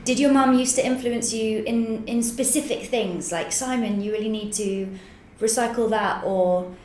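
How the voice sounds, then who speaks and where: A woman's voice: a little high, normal speed, darkish tone. A woman co-hosting a casual podcast, chatty and joking as she talks in a small office. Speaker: podcast co-host. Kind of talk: casual chat. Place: small echoey office by a street. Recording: echoey room with faint street noise.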